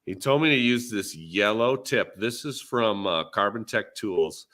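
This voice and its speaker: A man hosting a podcast, talking casual shop in a laid-back, halting way, thinking aloud as he goes. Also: lowish voice, expressive, a bit bright. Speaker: podcast host. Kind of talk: casual shop talk on a livestream.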